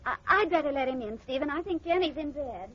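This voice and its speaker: An actress playing a young, secretive wife with a light, fussing, soothing manner in a vintage radio drama. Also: female voice, sits high, animated, fast talker. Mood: flustered. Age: young adult. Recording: dull, noisy old radio recording.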